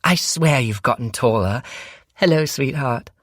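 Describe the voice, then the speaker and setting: A man voicing an effusive middle-aged mother who gushes excitedly. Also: a bass voice, unhurried, breathy edge, dry recording, studio quality, voice pushed up, exaggerated voice. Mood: gushing. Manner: gushing, excited.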